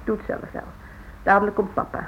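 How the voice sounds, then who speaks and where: An actress playing a brisk, practical, mildly exasperated housewife and mother in a radio drama. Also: close to an everyday voice. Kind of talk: radio drama acting, domestic dialogue. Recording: dull old radio, faint noise.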